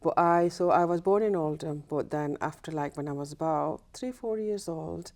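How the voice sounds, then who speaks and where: A woman from Punjab in Pakistan, whose first language is Punjabi, speaking as a podcast guest in a careful, halting way with many fillers. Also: low voice, a little mellow. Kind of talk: casual conversation.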